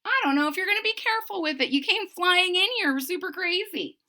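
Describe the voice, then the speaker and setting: A woman's voice: very high-pitched, expressive, fairly quick, pushed and bright, pitched above the performer's own voice, heavily acted. A woman voicing a giant, bossy mother bird who guards her eggs, squawky and suspicious as she reads a picture book aloud. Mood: scolding. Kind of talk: read-aloud storybook voice.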